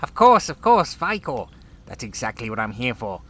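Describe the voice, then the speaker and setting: A man's voice: mid-range voice, big pitch swings, normal speed, sharp tone. A man playing an anxious, self-important chief officer in a sci-fi audio drama, agitated and pompous. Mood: flustered courtesy. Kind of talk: full-cast sci-fi audio drama acting.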